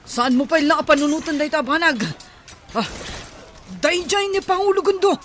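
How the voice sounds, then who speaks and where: High-voiced, urgent loyal warrior. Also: female voice, lively intonation, normal speed, fairly bright tone, a little hiss or hum. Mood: alert. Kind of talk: Ilocano radio drama acting.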